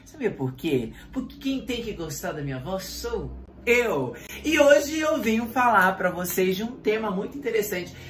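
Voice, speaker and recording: A male singing teacher giving a video lesson, speaking with animated energy and firing rhetorical questions straight at the viewer. His voice carries a noticeable room echo around it. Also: sits high. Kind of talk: online voice lesson. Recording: roomy echo.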